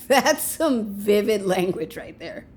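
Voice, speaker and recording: A woman's voice: medium pitch, expressive, slow pace, breathy edge. An actress playing a breezy, sardonic, slangy woman who teases her panicking male partner in a naturalistic audio drama. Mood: amused, mocking. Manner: flippant, teasing, slangy.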